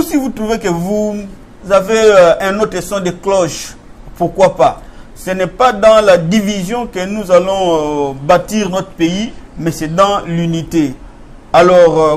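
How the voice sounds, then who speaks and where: A man hosting a studio interview, recapping his guest's points for viewers. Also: sits high. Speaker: host.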